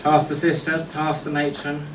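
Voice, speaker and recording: A middle-aged man giving a eulogy as a speech of humorous anecdotes, told in a wry, unhurried way. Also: fairly high pitch, monotone. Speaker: speaker giving a memorial tribute. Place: indoor function venue at a golf club, before an audience. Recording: echoey room, distant mic, faint noise.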